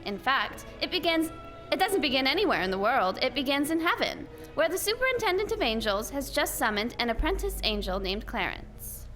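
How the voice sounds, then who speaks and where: A woman playing an earnest storyteller, narrating a 1940s-style radio play in a measured, dramatic way. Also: high-pitched, big pitch swings, a bit bright, faint music behind. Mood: wistful, storytelling.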